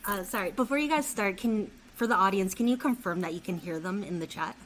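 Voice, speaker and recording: A woman's voice: medium pitch, brisk. A woman moderator briefly introduces an online session, a little hesitant. A faint background hum sits behind her voice. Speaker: event moderator.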